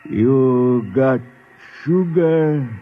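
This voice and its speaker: An actor voicing a friendly alien native who speaks halting pidgin in a 1950s radio drama. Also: male voice, mid-range voice, soft background music. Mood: slow, friendly. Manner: broken pidgin phrasing, halting. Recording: dull, noisy 1950s radio recording.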